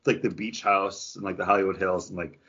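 A man's voice: low voice, level tone, brisk, a bit bright, husky, dull audio. A man co-hosting a podcast, talking in casual conversation as he shares his opinions. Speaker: podcast co-host reviewing comics. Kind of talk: casual chat.

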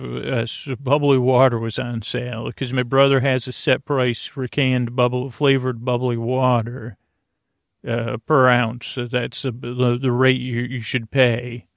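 Guy with a gravelly creaky voice, dry close sound, wandering bedtime podcast talk, keeps trailing off. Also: medium pitch, flat delivery, no room sound. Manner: meandering, halting, repetitive, trailing off. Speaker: sleep podcast host. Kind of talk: rambling bedtime monologue.

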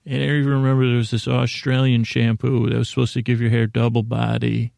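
Male podcast host, very gravelly mid-pitched voice, meandering and self-effacing comic monologue, halting delivery. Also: flat delivery, a little mellow, dry recording, studio quality. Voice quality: gravelly, creaky. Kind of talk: rambling comedic monologue.

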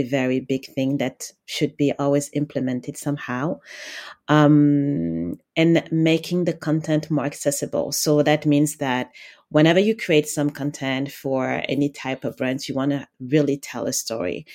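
A woman's voice: low-pitched, darkish tone. A woman from Senegal talks as an expert guest on a podcast. She answers in a conversational way, explaining her points as she goes. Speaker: podcast guest, video marketing consultant.

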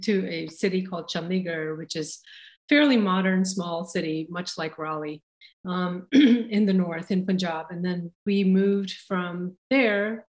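A woman's voice: on the low side, unhurried, darkish tone. Female guest, forties, rambling chatty storyteller with lots of fillers. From India. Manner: rambling, laughs often, many fillers. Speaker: chef and guest. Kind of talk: casual interview storytelling.

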